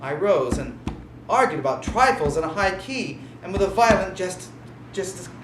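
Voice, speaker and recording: A man's voice: sits high, animated, moderate pace. A man playing a mad murderer in a gothic horror reading, delivered in an emphatic, theatrical, melodramatic way. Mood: uneasy, agitated. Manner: melodramatic, emphatic, swinging between hushed and frantic. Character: mad male murderer, smugly confessing, then unravelling into hysteria. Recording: roomy, distant live recording with noise.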